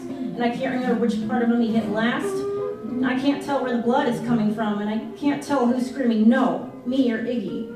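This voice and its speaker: Woman reading a story in heavy echo. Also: a little high. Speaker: reader performing a novel. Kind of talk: dramatic first-person story reading. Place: live performance in an arts venue. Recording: very echoey hall, far from mic, loud guitar. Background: loud plucked guitar music.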